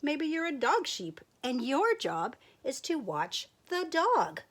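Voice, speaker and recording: Woman reading a calm storybook sheepdog, matter-of-fact, lively intonation. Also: high-pitched, slow pace, voice pushed up, heavily acted. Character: patient, hairy male sheepdog who guards sheep.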